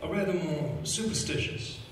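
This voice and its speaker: An actor on stage playing a condescending, articulate city solicitor in a theatrical monologue. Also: male voice, on the high side, normal speed, close to an everyday voice. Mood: musing, condescending. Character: condescending young city solicitor musing aloud, articulate and superior. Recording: very echoey hall, distant mic, hiss.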